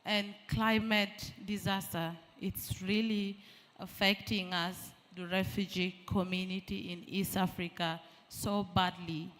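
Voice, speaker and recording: A woman's voice: mid-range voice, flat delivery, takes it slowly, a bit bright. A woman, raised in Uganda, giving an earnest statement at a conference and asking the audience rhetorical questions. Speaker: refugee representative, panel speaker. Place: large conference hall. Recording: long reverb of a large conference hall.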